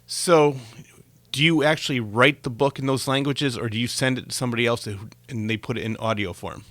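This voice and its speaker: A man's voice: mid-range voice, brisk, fairly bright tone, dry recording. Chatty male interviewer, dry close sound. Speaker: interview show host. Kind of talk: casual conversational interviewing.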